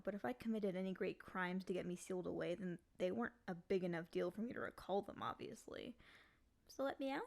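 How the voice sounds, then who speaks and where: Woman playing a soft, creaky, lonely demon girl. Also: medium pitch, natural voice. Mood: defensive, then coaxing, pleading. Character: lonely, clingy female demon sealed in a cage for centuries. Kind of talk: intimate ASMR roleplay monologue.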